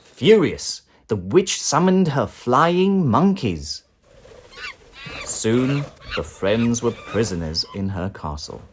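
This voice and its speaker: A man narrating a children's storybook in an expressive, dramatic way. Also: medium pitch, takes it slowly, slight background noise. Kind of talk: children's storybook read-aloud.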